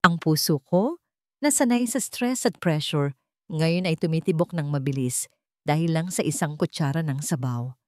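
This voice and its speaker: A woman narrating a romantic drama in the first person, in an expressive, sentimental storytelling style. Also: low-pitched, moderate pace, no echo, clean studio sound.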